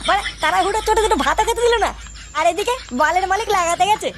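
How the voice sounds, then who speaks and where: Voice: very high-pitched, rapid-fire, very noisy. A performer voicing a cheeky, lazy cartoon boy who whines and swears crudely. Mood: grumbling. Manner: crude, insolent, whiny. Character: cheeky, foul-mouthed lazy boy, grumbling at work. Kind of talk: crude comic cartoon dialogue.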